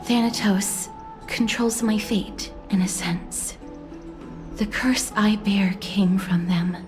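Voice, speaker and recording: An actress playing a soft-spoken, solemn young woman in a video game, talking politely and in a subdued, earnest way. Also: mid-range voice, steady tone, slow, over music, close to an everyday voice. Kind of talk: scripted video game dialogue.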